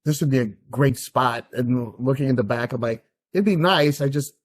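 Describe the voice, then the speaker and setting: Man, podcast guest, mid-pitched voice, relaxed casual chat, agreeable. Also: moderate pace.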